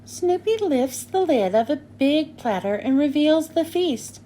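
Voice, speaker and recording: A woman's voice: high-pitched, expressive, unhurried, warm tone, a little hiss or hum. A woman narrating a children's storybook as a read-aloud. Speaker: storybook reader.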